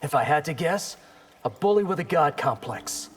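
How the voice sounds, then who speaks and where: An actor playing a brash, defiant young ninja in a video game, cocky and sardonic. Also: male voice, fairly high pitch, animated, normal speed, breathy edge.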